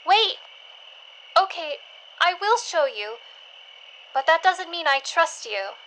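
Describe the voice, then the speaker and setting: A woman's voice: very high voice, very animated, slow, bright, forceful tone, exaggerated voice. A woman playing a wary, curious young scavenger in an audio drama, guarded and questioning. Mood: guarded, intrigued. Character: wary, curious young female scavenger who collects specimens. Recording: noisy, night insects and frogs behind. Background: crickets, frogs, outdoor ambience.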